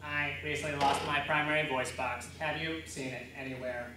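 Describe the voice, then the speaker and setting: Robot acted by a human speaker, flat delivery, stilted, emotionless, roomy distant recording, background noise. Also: medium pitch, normal speed, voice pushed down, close to an everyday voice. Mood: flat, mechanical. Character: flat robot voice box reciting backup phrases.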